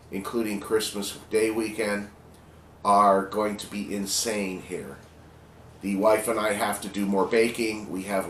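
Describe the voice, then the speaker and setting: A man in his fifties hosting a casual home cooking video from his kitchen, talking in a slow, homely, chatty way and sounding a little congested. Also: on the low side, echoey room, slight background noise. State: slightly congested.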